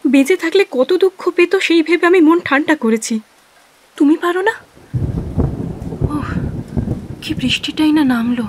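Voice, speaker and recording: High-voiced, defiant wife. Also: female voice, measured pace, pauses often, slight background noise, low-quality recording. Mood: exasperated. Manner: retorting, evasive, exasperated. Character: long-suffering, defiant young wife of a sick man. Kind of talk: Bengali audio drama acting.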